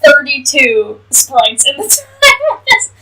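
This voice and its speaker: A woman's voice: sits high, wildly swooping pitch, slow pace, bright, forceful tone, far from the mic, low-quality recording. A woman co-hosting a podcast with casual, joking banter, recorded slightly away from the mic. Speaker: podcast co-host.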